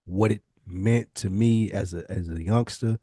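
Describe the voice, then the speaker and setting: A man's voice: on the low side, unhurried, mellow, husky, no room sound, clean studio sound. A male podcast co-host chatting and debating casually in a slangy, profane, insistent way.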